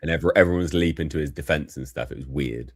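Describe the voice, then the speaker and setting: A man co-hosting a political show in casual reaction chat, speaking haltingly, repeating himself and trailing off. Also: a bass voice, no room sound, clean studio sound. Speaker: co-host of a political commentary show.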